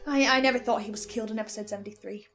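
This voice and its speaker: A woman giving enthusiastic theorising commentary as a listener, excitable and often interrupting herself. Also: fairly high pitch, racing. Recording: music behind the voice. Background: music.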